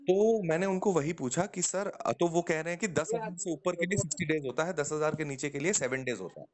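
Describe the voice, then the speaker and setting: A man's voice: on the high side, brisk. A man with an Indian accent on a phone call as a customer, questioning and methodically pressing his point, often checking for agreement. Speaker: customer calling a loan company.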